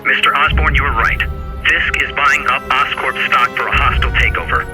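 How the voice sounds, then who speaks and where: A man's voice: medium pitch, fairly even intonation, normal speed, loud music behind the voice, natural voice, low-quality recording. An actor playing a deferential, confident male corporate aide in a video game cutscene. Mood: reassuring.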